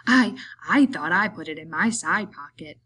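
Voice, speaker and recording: A woman voicing a big, simple, childlike male farm laborer in an audiobook, eager and pleading, with halting, repetitive, rustic speech. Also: on the low side, lively intonation, a bit bright, breathy edge. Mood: anxious, evasive.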